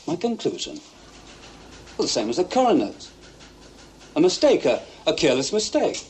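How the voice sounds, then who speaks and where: A man's voice: a little high, wildly swooping pitch, normal speed, a little hiss or hum. An actor in a 1960s television drama playing a man who explains a fault in a plain, matter-of-fact way.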